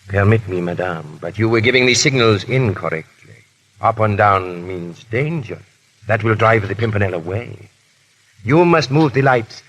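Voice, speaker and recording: An actor playing a stern, sardonic French Revolutionary agent in a formal, commanding manner in a 1930s radio drama. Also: male voice, fairly low pitch, a bit bright, slightly rough, heavily acted. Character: stern, menacing male French Revolutionary agent, coolly triumphant. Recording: muffled-edged vintage 1930s radio broadcast.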